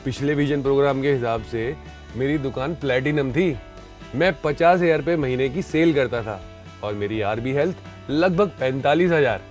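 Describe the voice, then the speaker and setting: A man's voice: mid-range voice, moderate pace, darkish tone, faint music behind, close to an everyday voice. A man playing a genial, eager pharmacy shopkeeper in a scripted training film, speaking informally and inquisitively. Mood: curious, eager.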